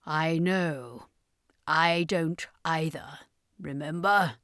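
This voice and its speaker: A woman's voice: low-pitched, drawn-out words, fairly bright tone, no echo, clean studio sound, exaggerated voice. A woman voicing a weary, sheepish circus master in a narrated fantasy story, apologetic and matter-of-fact. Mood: weary, knowing.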